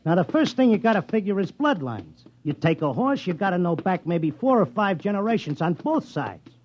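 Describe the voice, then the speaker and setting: A streetwise, stubborn racetrack tipster, dull vintage radio. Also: male voice, high voice, big pitch swings, moderate pace, a little mellow. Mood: rambling, absorbed. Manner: slangy, wisecracking, insistent. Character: streetwise, stubborn male racetrack tipster devoted to his wife. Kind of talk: 1950s radio drama acting with first-person narration. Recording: dull vintage 1950s radio, music behind.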